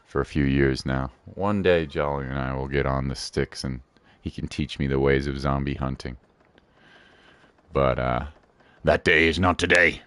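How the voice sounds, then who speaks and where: A man's voice: a bass voice, a bit bright, clean tone, no echo. A man chatting casually on a game livestream, laid-back and playful, often repeating his phrases. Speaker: game live streamer. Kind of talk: casual livestream chat, commentary.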